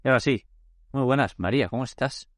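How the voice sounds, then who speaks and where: A man hosting a casual podcast interview, talking in a loose, relaxed way and often restarting his phrases. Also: mid-range voice, quick pace, no echo. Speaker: podcast host.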